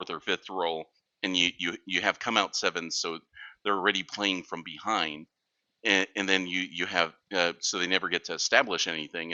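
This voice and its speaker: Deep, very bright-voiced man, halting delivery. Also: no echo, highs cut off. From Texas. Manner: halting, repeats words. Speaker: guest, craps shooter. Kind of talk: casual chat, storytelling.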